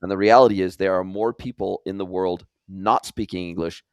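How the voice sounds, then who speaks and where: A man's voice: low-pitched, fairly even intonation, brisk, a little hiss or hum. A man with a mixed American and New Zealand accent, hosting a podcast interview in an effusive, talkative, conversational way. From California, United States, later long in New Zealand. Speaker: podcast host.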